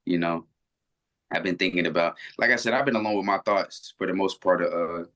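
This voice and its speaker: Young man, twenties, very low voice, reflective and rambling, video-call guest. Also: fairly quick, a bit bright. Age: 20s. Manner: earnest, rambling with many restarts. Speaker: interview guest. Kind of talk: reflective casual conversation.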